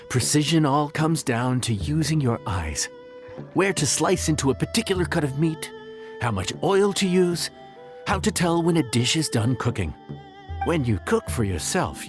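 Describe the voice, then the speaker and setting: An actor playing an affable, patient inn cook who explains things in an encouraging, folksy way in a video game. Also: male voice, medium pitch, close to an everyday voice. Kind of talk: video game cutscene acting.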